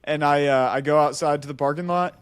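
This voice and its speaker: A man's voice: on the high side, fairly even intonation, fairly quick, clean tone, dry recording. A man co-hosting a podcast, telling a story casually and animatedly, acting out the dialogue as he goes. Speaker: podcast co-host.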